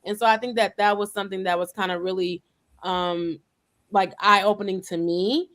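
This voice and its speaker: Here is a woman host of a casual group talk, with a voice of middling pitch. She is chatty and tells stories by acting out what people said. Her everyday grammar leans Southern. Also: fairly even intonation, normal speed, fairly bright tone. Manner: chatty storyteller, acts out dialogue.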